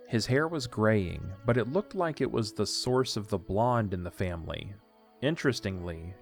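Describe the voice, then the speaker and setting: A man narrating a scripted story for an audio drama. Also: fairly low pitch.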